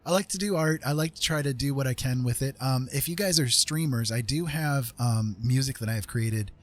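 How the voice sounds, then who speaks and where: A man with a husky voice hosting a casual livestream, talking in a rambling, joking way. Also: medium pitch, brisk. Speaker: streamer host.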